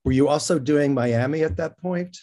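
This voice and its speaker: A man hosting a casual interview, speaking in a halting way as he thinks aloud. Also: fairly high pitch, mellow. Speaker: interview host. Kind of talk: casual hosted conversation.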